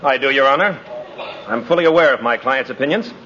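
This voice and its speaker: An actor playing an earnest, confident young courtroom lawyer in a 1950s radio drama. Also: male voice, mid-range voice, fast talker, bright, forceful tone, lightly acted. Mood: earnest, insistent. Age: young adult. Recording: dull, noisy vintage radio recording.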